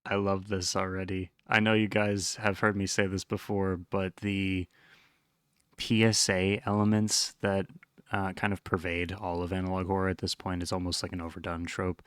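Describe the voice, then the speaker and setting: A man's voice: low-pitched, little pitch movement, normal speed, fairly bright tone, clear, resonant tone, dry recording. A man giving casual reaction commentary, rambling enthusiastically in informal slang. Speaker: host reacting to a horror web series.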